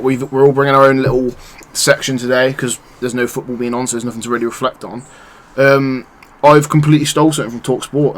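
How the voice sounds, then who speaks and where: A man hosting a casual football podcast, leading the segments in a quick, chatty way. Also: mid-range voice. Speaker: podcast host.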